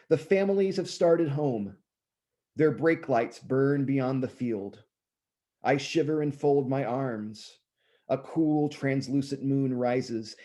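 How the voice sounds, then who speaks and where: Hoarse man with a dark, mellow voice. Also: mid-range voice. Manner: measured recitation, rambling chatty asides. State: hoarse, clearing his throat. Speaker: poet reading his own work. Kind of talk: poetry reading with casual asides.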